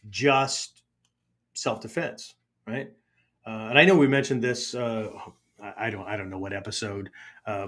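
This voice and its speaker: Rambling middle-aged man, many "right?"s. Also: medium pitch. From Pennsylvania, United States. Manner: keeps tagging "right?" and restarting phrases. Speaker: podcast host and martial arts teacher. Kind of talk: rambling casual monologue.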